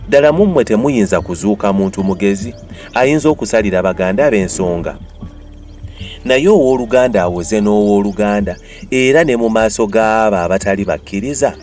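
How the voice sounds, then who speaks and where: A man with a Ugandan accent reads scripture in a dramatized style. His low voice moves widely in pitch as he declaims with emphasis. Music plays along behind him. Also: lively intonation, fairly quick, a little mellow. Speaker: Bible reader.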